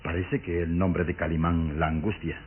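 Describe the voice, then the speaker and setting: Man playing a deep-voiced, dogged police inspector. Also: flat delivery, moderate pace, background noise, lightly acted. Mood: probing, resolute. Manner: formal, firm, inquisitive. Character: serious, dogged male police inspector, formal and polite. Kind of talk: radio drama acting. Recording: tinny lo-fi vintage radio recording with music.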